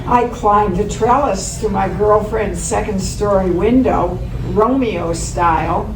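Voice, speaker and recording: A woman's voice: medium pitch, takes it slowly. A woman in an amateur stage reading, playing a wry, romantic older man telling of youthful mishaps. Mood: wry, nostalgic. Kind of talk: amateur readers theater. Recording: roomy, distant mic, clear noise.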